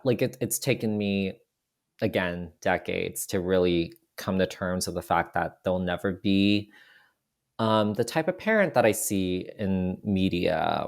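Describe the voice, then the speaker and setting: A young man whose first language is Cantonese, a podcast guest talking reflectively, with frequent restarts and "you know" fillers. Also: deep voice. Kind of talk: reflective casual conversation.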